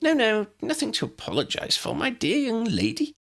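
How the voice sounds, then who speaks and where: A man's voice: high voice, huge pitch swings, normal speed, creaky, a bit bright, voice pushed up, exaggerated voice. A man voicing a diminutive elderly wizard professor in an audiobook, kindly, fussy and politely old-fashioned. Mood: soothing, kindly.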